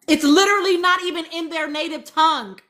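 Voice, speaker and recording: A woman with a high, bright and forceful voice hosts an informal live stream. She speaks with emphasis and conviction, repeating key phrases as she urges her listeners along. Her delivery is conversational and impassioned. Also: a little fast. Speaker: live-stream host reading and answering audience comments.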